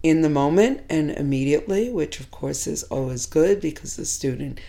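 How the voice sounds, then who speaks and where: A hoarse woman speaks in a low, mellow voice, measured and reflective as she talks fellow teachers through classroom ideas. She sounds middle-aged and pauses often to gather her thoughts. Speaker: teacher educator. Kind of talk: instructional talk to teachers.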